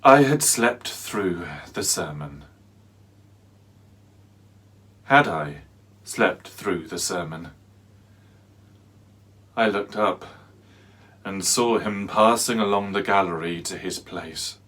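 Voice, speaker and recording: A man playing a haunted, fearful narrator in a dramatic reading of a horror tale, speaking deliberately and theatrically with halting pauses. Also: low-pitched, a bit bright, full character voice. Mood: haunted disbelief. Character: haunted, fearful man recounting a nightmarish pursuit. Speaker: actor, narrator.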